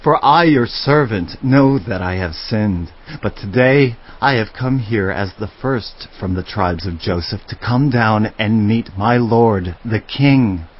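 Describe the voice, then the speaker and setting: An actor playing a contrite wrongdoer begging a king, slow and pleading, in a dramatized audio Bible. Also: lowish voice, lo-fi sound. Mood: remorseful, pleading.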